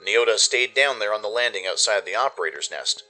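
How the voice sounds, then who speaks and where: Bright, forceful low-pitched male story narrator. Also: expressive, a little fast. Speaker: narrator and producer. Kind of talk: scripted dramatic story narration.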